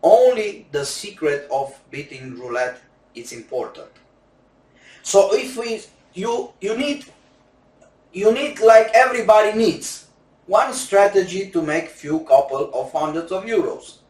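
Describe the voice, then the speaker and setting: Slow, emphatic Romanian-accented man. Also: high-pitched, lively intonation, darkish tone. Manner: broken English, slow and emphatic. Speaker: roulette instructor selling courses. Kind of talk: sales pitch monologue. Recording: echoey room, distant mic.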